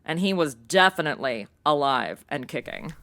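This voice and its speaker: A woman's voice: deep voice, lively intonation, moderate pace, fairly bright tone. A woman playing a brash, jealous, catty young American woman in a comedy audio drama, sassy and slangy. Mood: defensive, sarcastic. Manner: sassy, sarcastic, modern slangy talk.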